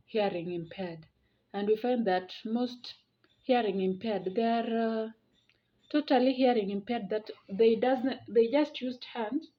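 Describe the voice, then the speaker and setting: A woman explains things informally to her viewers. She speaks slowly and haltingly, often repeating a phrase to stress it. Her medium-pitched voice comes through a slightly muffled, lo-fi recording. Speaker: video presenter. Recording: dull lo-fi audio.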